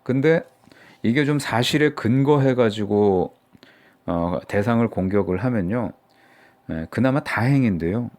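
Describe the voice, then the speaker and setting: A man's voice: medium pitch, animated, measured pace, darkish tone. A middle-aged man from Seoul giving casual, mocking political commentary for his own channel, rambling with many fillers. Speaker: political YouTuber.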